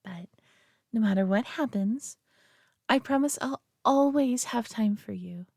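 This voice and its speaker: High-voiced, doting alien woman played by an actress. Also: unhurried, gravelly, husky, no room sound, clean studio sound, full character voice. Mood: fond, reassuring. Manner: coddling, gushing, softly condescending. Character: doting possessive alien woman cooing over her pet human. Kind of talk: intimate roleplay audio drama.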